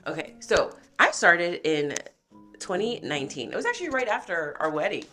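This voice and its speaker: A woman's voice: on the low side, very animated, normal speed, bright, forceful tone, soft background music. Chatty woman, sick with a cough. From American Samoa. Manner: chatty, laughing, with fillers. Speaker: host. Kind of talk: casual chat. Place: home.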